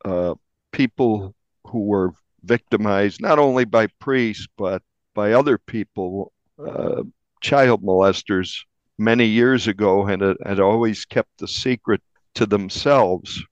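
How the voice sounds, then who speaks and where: A man speaking as an interviewed newspaper reporter, explaining a crime story in a measured, halting way with repeated phrases. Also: lowish voice, no echo, highs cut off.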